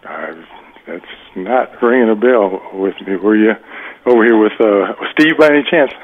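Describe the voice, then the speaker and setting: A man's voice: lowish voice, moderate pace. A man in a casual phone conversation over a tinny line, speaking in a hesitant, polite and guarded way. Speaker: homeowner receiving a prank call. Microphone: telephone.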